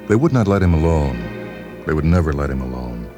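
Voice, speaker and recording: A man narrating a short story for radio as host, in a measured, dramatic manner. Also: a bass voice, big pitch swings. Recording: voice over background music.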